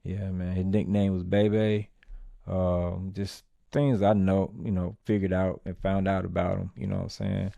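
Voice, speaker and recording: Male podcast host, dark mellow tone, dry close sound, relaxed rambling delivery. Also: low-pitched, unhurried, creaky, dry recording. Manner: laid-back, filler-heavy street talk. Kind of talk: casual commentary.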